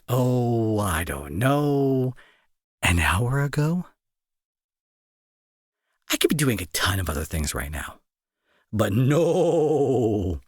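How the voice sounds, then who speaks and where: Man acting a irritable young bully, wildly swinging pitch. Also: no echo, clean studio sound. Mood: exasperated, scolding. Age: young adult. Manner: sarcastic, scolding, exasperated. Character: irritable young male bully, gruff but secretly worried. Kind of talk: intimate roleplay audio drama acting.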